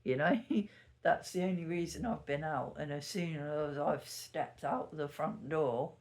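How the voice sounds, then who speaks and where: Slow rambly youtuber talkin to the camera at home super early, bit sniffly, mid pitch. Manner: meandering, self-correcting, laughs at self. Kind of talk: casual early-morning vlog chat to camera. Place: sitting at home indoors. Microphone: camera mic.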